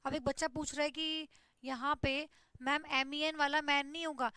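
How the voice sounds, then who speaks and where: A woman's voice: sits high, little pitch movement, normal speed, pushed and bright, no echo. A woman teaches an online English grammar class, explaining step by step and checking in with "ठीक है". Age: 20s.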